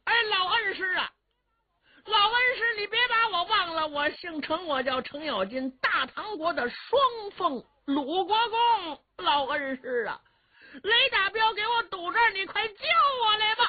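Woman performing a high wailing cheeky cornered warrior. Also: expressive, phone-quality sound. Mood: wailing plea. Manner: wailing drawn-out chants, wheedling. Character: cornered cheeky blustering male warrior, pleading and stalling.

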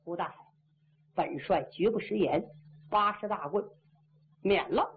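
A woman's voice: mid-range voice, a little slow, voice pushed down, close to an everyday voice. A woman voicing a stern, cold male marshal who gives clipped orders. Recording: tinny phone-like audio.

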